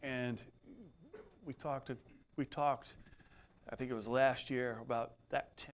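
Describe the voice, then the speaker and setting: A man at a middle pitch introduces the next speaker to a crowd. His talk is chatty and folksy, full of restarts and asides, with the plain voice of an ordinary man. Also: fairly even intonation, slow. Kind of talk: spoken introduction.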